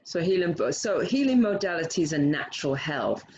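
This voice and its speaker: A woman hosting an interview, speaking slowly and repeating her welcomes. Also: on the low side, big pitch swings. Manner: slow, warm welcoming with repeated words. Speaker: host. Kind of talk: casual conversational interview. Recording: dull, lo-fi with faint noise.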